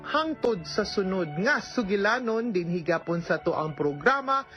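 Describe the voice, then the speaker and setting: A woman's voice: mid-range voice, lively intonation, unhurried, faint music behind. A woman with a Philippine Bisaya accent hosting a radio advice program, explaining legal matters conversationally and often checking that she is followed. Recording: dull, lo-fi radio recording.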